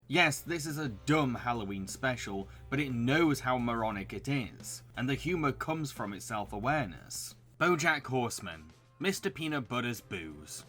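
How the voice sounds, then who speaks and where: A man's voice: medium pitch, lively intonation, moderate pace, hardly any pauses, fairly bright tone, faint music behind. A man reading scripted narration as a video reviewer.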